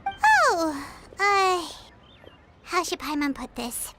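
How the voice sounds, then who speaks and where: A woman's voice: extremely high pitch, wildly swooping pitch, drawn-out words, bright, forceful tone, a little hiss or hum, exaggerated voice. A woman voicing a small, chirpy, blunt, childlike fairy companion in a video game. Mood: hesitant, surprised. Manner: speaks of self in third person, blunt.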